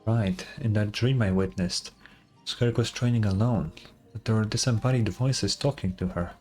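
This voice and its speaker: A man's voice: on the low side, moderate pace, darkish tone, faint music behind. A man streaming a game, reading its dialogue aloud and adding casual commentary.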